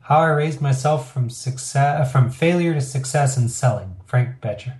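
A man's voice: mid-range voice, normal speed, darkish tone. A middle-aged man talking candidly as an interview guest, reflective and rambling with fillers. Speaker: interview guest, business owner. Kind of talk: casual interview talk. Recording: roomy echo.

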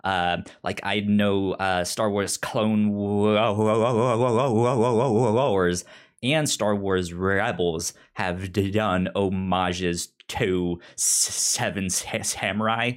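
A man's voice: lowish voice, steady tone, takes it slowly, clear, resonant tone. A man co-hosting a podcast, chatting casually about pop culture, with a stutter that repeats syllables and words. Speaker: podcast co-host.

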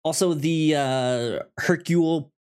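A man co-hosting a casual chat and giving recommendations in an easygoing, halting way, as if thinking aloud. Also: fairly high pitch, a little mellow, slight background noise. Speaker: podcast co-host.

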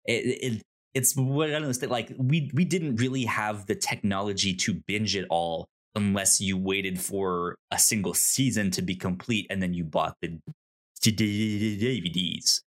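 A man's voice: on the low side, a little slow. A man co-hosting a podcast in casual unscripted discussion, often repeating words and syllables as he goes and acting out imagined dialogue. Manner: animated, acts out imagined dialogue. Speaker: podcast co-host reviewing a TV show.

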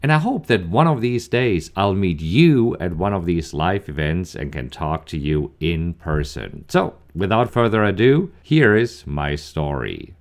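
A man's voice: deep voice, very animated, measured pace, few pauses. A man from Germany, opening his podcast with an upbeat introduction addressed straight to the listener. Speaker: podcast host.

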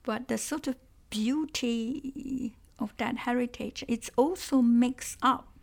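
Slow, gravelly woman, Cantonese-native speaker. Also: a little high. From Malaysia. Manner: thoughtful, halting, repeats words. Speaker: interviewer. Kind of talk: conversational interview.

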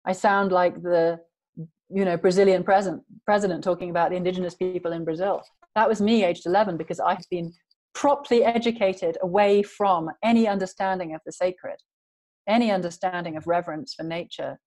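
A woman's voice: on the low side, steady tone, brisk, darkish tone, low-quality recording. A woman guest in an interview, talking earnestly and emphatically in long flowing sentences. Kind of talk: passionate conversational interview.

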